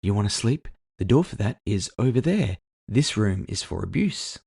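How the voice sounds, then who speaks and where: A man voicing a brash, sharp-tongued woman with a casual, sardonic, teasing manner in a cyberpunk audio drama. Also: on the low side, very animated, moderate pace, gravelly, slightly rough, exaggerated voice. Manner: casual, sardonic, foul-mouthed. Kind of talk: audio fiction character dialogue.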